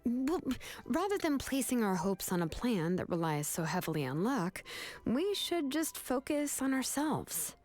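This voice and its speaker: An actress playing a caring, laid-back young artisan woman in a video game, speaking in a relaxed, reassuring manner. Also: on the high side, unhurried. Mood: pensive.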